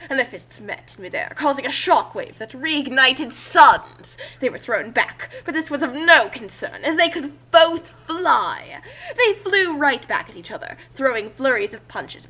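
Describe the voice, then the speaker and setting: A woman with a fairly high, very bright voice reads a story aloud as its narrator. She delivers it as a dramatic reading and slips into casual asides. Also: husky, poor audio.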